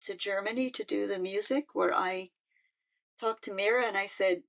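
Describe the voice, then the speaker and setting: An older woman, a musician speaking in a halting, heartfelt way with frequent restarts. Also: mid-range voice, vocal fry, a bit bright. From United States. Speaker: musician and peace activist. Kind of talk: personal reflective talk.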